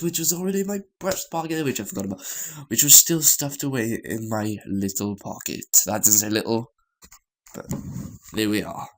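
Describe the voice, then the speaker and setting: A man reading a visual novel story aloud as a gaming host, in a halting way with stumbles and repeated phrases. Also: medium pitch, rough recording.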